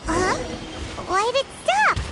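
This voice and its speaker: A woman voicing a tiny, perky, boastful female companion in a video game, with a squeaky childlike voice. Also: sing-song, slow pace, exaggerated voice. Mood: excited. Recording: loud game music under the voice.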